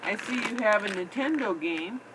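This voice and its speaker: A woman's voice: medium pitch, lively intonation, normal speed, noisy background. A woman chatting simply and encouragingly as a mother in an improvised puppet show, recorded on a tablet at home. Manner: encouraging, asks many simple questions. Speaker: mother playing puppets with her son. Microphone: iPad microphone.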